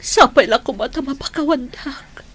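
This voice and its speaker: A woman's voice: high-pitched, moderate pace, a bit bright. A woman playing an emphatic, melodramatic wife in a radio drama, with an Ilocano accent. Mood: remorseful. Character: bossy, greedy wife who turns frantic, then remorseful.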